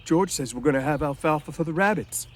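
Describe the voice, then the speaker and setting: A man's voice: fairly high pitch, fast, voice pushed up, natural voice. A man voicing a childlike, anxious, stubborn big ranch hand in a storybook, simple and plaintive in manner. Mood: insistent.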